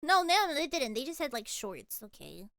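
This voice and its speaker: Bubbly high-voiced young woman streamer. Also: sing-song, normal speed, vocal fry, noisy background. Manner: bubbly, gushing, frequent giggles and repeated words. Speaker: VTuber streamer. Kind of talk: casual live-stream chat, reacting and reading chat.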